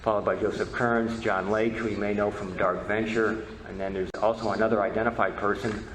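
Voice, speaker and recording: A man giving an informal history lecture as presenter, halting and often repeating words. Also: fairly low pitch, level tone, a bit bright. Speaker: presenter, media history researcher. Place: presentation room at a convention. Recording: echoey room with background noise.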